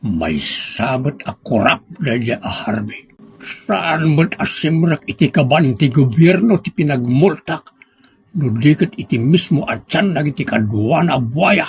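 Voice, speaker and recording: A man playing an aggrieved, struggling pig owner in an Ilocano drama, speaking plaintively and bitterly in a husky voice. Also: fairly high pitch, animated, a little slow, exaggerated voice. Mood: bitter, indignant. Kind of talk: Ilocano audio drama acting.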